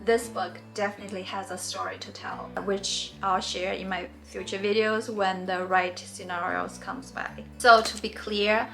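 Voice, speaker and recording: Gentle Chinese-born woman teaching knitting. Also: medium pitch, flat delivery, normal speed, vocal fry, hardly any pauses, soft background music. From China. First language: Mandarin. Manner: gentle, earnest and encouraging. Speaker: knitting tutorial host. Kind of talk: friendly instructional talk.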